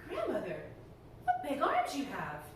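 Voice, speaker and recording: A woman's voice: high voice, wildly swooping pitch, measured pace, heavy reverb, distant mic, slight background noise, full character voice. A woman voicing a sweet, innocent little village girl from a fairy tale, eager and wide-eyed.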